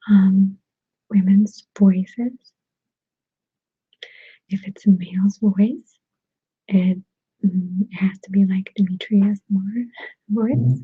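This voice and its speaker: A woman with a Russian accent chats casually in a soft, breathy whisper, gently answering viewers on an ASMR stream with halting pauses, close to a sensitive microphone. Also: medium pitch, dry recording, narrow, tinny audio. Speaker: ASMR creator hosting a live stream.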